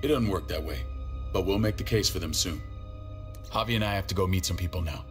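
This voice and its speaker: A man playing a gruff, hardened community leader in a video game drama, talking in a blunt, terse, commanding way. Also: low voice, a little fast, soft background music. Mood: firm, commanding.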